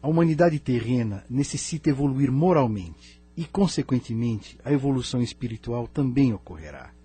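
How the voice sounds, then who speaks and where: A man with a Brazilian accent playing a grave, wise spirit elder from another planet in an audio drama, solemn and measured. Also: mid-range voice, expressive, warm tone, slightly rough. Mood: grave, concerned. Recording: music bed behind the voice.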